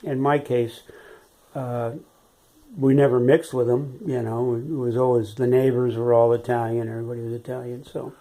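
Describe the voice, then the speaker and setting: Older man, warm mellow reminiscing voice. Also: mid-range voice, little pitch movement, moderate pace, poor audio. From San Francisco, California. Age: 80s. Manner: rambling, with frequent "uh" and "you know", chuckles as he remembers. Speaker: interviewee, retired biology teacher. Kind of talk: unhurried oral history reminiscence.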